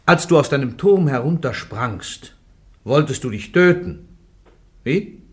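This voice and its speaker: An actor plays a male church interrogator, stern and probing, pressing question after question. His voice swings widely in pitch, insistent and at times sharp, in a radio play courtroom. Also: expressive, unhurried, close to an everyday voice. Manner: insistent, rising to sharp accusations. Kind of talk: radio drama acting.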